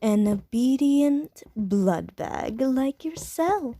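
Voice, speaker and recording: A woman voicing a flirtatious, predatory female vampire in an ASMR roleplay, speaking softly and teasingly and slipping into whispers. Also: sits high, lively intonation, a little hiss or hum, full character voice. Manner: slow, soft, teasing, drops into whispers.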